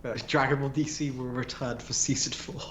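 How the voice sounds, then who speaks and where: A man co-hosting a casual livestream, tossing in jokes and quick asides. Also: mid-range voice, flat delivery, a bit bright, a little hiss or hum. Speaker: livestream co-host. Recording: echoey room.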